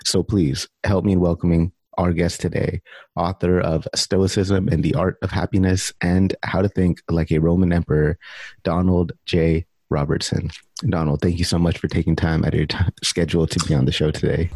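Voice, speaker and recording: A man's voice: low voice, flat delivery, moderate pace, warm tone, slightly rough, no room sound. A man hosting a podcast, upbeat and enthusiastic as he introduces the show and asks questions. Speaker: podcast host.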